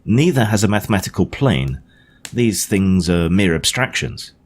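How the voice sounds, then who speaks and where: A man voicing an earnest Victorian gentleman scientist in an audiobook, lecturing his guests in a precise, emphatic, didactic way. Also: deep voice, expressive, normal speed. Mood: earnest, challenging. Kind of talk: audiobook character dialogue. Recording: clearly noisy home recording.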